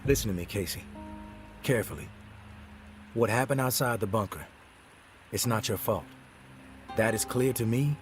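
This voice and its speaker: An actor playing a calm male survivor in a video game, speaking in a measured, firm and reassuring way. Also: fairly low pitch, faint music behind, lightly acted. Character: calm, steady male survivor counseling a troubled friend. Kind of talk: dramatic video game acting.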